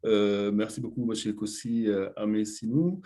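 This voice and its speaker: A man's voice: lowish voice, moderate pace, mellow. A man moderating a panel discussion, hesitant and full of filler sounds.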